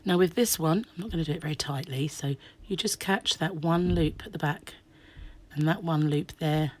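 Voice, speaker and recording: A woman with a slightly croaky voice from a sore throat, chatting through a crochet tutorial and dropping to soft half-whispers as she works. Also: deep voice, moderate pace. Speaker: crochet teacher. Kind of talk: hands-on craft tutorial.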